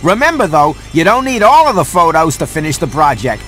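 Sarcastic house cat, high-pitched, lively swings, fussy, sardonic, video game cartoon. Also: male voice, fairly quick. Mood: wry, instructing. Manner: wisecracking, sardonic. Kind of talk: animated video game cutscene acting.

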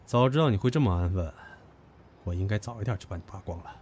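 A man's voice: on the low side, animated, fast talker, darkish tone, a little hiss or hum. An actor playing a possessive, taunting young man who talks crudely and commandingly in an audio drama. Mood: smug, cool. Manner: taunting, demanding. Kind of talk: Chinese audio drama acting.

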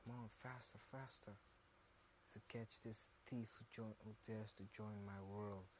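Male gaming host, deep and unhurried, casual self-muttering commentary, slight background noise. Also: steady tone, fairly bright tone.

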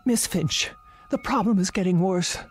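A woman's voice: medium pitch, moderate pace, soft background music, natural voice. An older actress playing an anxious, insistent, pleading elderly invalid woman in a radio drama. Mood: agitated demanding. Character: frightened, fretful elderly invalid woman living alone.

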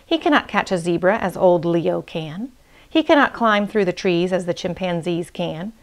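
A woman's voice: fairly low pitch, lively intonation, moderate pace. A woman voicing a scornful, cowardly male hyena in an animal storybook, taunting with bursts of ha-ha laughter. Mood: dismissive, scornful.

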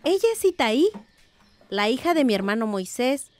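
A woman's voice: a little high, sing-song, moderate pace, heavy background noise. A woman playing a thoughtful, determined middle-aged village aunt in a radio drama, speaking earnestly and reflectively. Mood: delighted.

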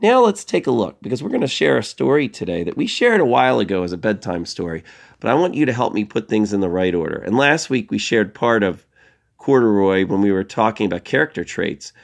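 A middle-aged man giving a lesson to young children as an elementary school teacher, playful and expressive, posing questions and answering them himself. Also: fairly low pitch, a little fast, creaky.

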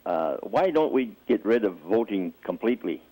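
A man's voice: fairly low pitch, sing-song, moderate pace, warm tone. A man calling in to a live show, blunt and complaining. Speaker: caller. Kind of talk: phone-in call. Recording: phone line.

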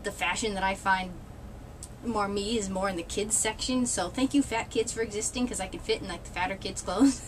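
A woman's voice: mid-range voice, steady tone, a little fast, slight background noise. A woman in her thirties from New England, chatting to a webcam in a small room, rambling and wry with frequent laughs and mild swearing. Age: 30s. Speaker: vlogger. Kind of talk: casual chat. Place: small indoor room with red cloth backdrop.

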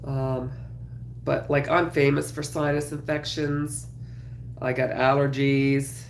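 A woman in her thirties with a cold, her voice low, raspy and gravelly from a numbed throat. She chats slowly and casually with her livestream viewers, greeting them by name. Her webcam picks up a roomy home room with a faint hiss behind her. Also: level tone. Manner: chatty, greets viewers while crocheting. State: congested, sore throat. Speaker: craft livestream host. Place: small home craft room, indoors.

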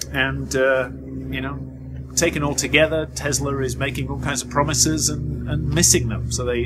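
A middle-aged man hosting a news vlog, talking through a roundup to camera from notes. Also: mid-range voice. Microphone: lapel mic. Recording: clearly noisy background ambience.

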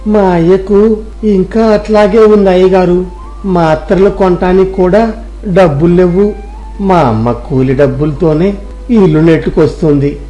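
Male actor as poor educated youth, plaintive and deferential, higher-pitched mellow voice, storybook dialogue. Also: fairly even intonation, unhurried, faint music behind. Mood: dejected. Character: poor, dejected, respectful educated young village man.

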